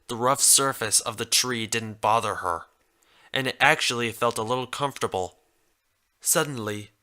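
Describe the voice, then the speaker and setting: A man narrates a written story as its reader. His voice is medium in pitch and clearly bright, with a forward edge. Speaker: fan fiction reader.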